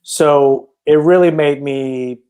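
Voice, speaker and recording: A man's voice: fairly high pitch, fairly even intonation, slow, mellow, smooth. A man from China, a native Chinese speaker, telling his life story in a casual podcast interview, thoughtful and full of restarts. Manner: reflective, hesitant, many restarts. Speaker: podcast guest, filmmaker.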